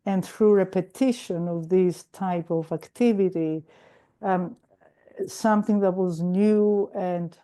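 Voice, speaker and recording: Woman guest speaker, mellow and a little raspy from a cold, earnest, hesitant delivery over a video call. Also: on the low side, slow pace. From Greece. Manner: warm, earnest, with frequent hesitations and restarts. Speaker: guest speaker at a recovery meeting. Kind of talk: personal sharing talk.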